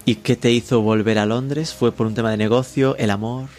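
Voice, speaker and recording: A man's voice: medium pitch, steady tone, fairly quick, darkish tone. A man hosting an interview, asking chatty, jokey questions. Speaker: podcast host and interviewer.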